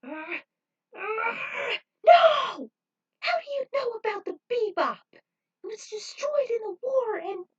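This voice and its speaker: A woman's voice: very high-pitched, sing-song, takes it slowly, recorded from a distance, lo-fi sound, voice pushed up, heavily acted. A woman voicing an outraged, panicky young female bounty hunter in an amateur story reading, stammering and exclaiming. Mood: outraged, panicked.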